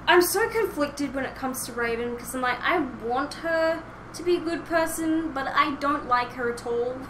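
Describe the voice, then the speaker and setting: A young woman with a fairly high voice chats casually as a podcast host. She rambles and hesitates through her points. The room echoes clearly and there is obvious background noise. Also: moderate pace. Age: young adult. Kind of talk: casual chat, fan discussion. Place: small home room indoors, online call. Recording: roomy, noisy headset recording.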